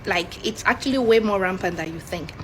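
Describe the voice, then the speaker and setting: A woman's voice: mid-range voice, brisk, poor audio. A woman with a Nigerian accent telling a personal story as a complaint, acting out the dialogue she quotes. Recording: clear ambient background noise.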